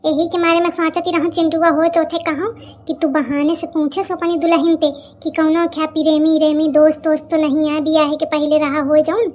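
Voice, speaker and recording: A woman's voice: sits high, little pitch movement, a little fast, darkish tone, close to an everyday voice. A woman voicing a plump middle-aged village mother-in-law in an Awadhi cartoon comedy, scolding, gossipy and suspicious, with an Awadhi accent. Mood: suspicious, nagging.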